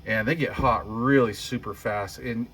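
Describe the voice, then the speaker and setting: A man's voice: mid-range voice, steady tone, normal speed, fairly bright tone. Man thinking aloud over noisy background. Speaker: hobbyist host demonstrating a homemade power supply. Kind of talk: casual hands-on demo chat. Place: workshop bench.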